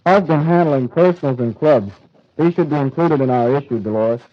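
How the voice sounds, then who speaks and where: A teenage American student reporter reading scripted news stiffly on an old lo-fi 1940s radio broadcast. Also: male voice, a little high, fairly quick. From United States. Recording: dull lo-fi 1940s radio recording.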